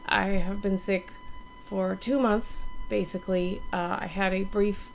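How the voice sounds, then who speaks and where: A hoarse woman in her thirties, still recovering from illness, gives a rambling, casual channel update to a webcam from her home gaming room. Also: mid-range voice, fairly even intonation, measured pace, soft background music. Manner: rambling, many ums and restarts. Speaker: gaming streamer and YouTuber.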